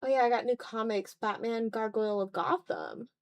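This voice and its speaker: A woman's voice: on the high side, normal speed, vocal fry. A woman hosting a casual art livestream, thinking aloud in a self-deprecating way as she draws. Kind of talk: casual chat while drawing on a livestream.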